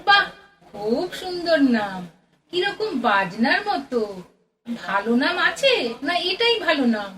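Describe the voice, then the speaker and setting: A woman's voice: high-pitched, very animated, a little slow, a bit bright, full character voice. A woman playing a fussy, chatty middle-aged Bengali mother in an audio play, fretful and given to homely exclamations. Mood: friendly, chatty. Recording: distant mic, noisy background.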